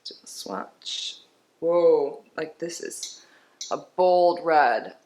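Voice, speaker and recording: A woman's voice: deep voice, lively intonation, drawn-out words, darkish tone. A woman chatting casually through a beauty box unboxing, sounding stuffy and congested, rambling along with small laughs. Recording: roomy with faint background noise.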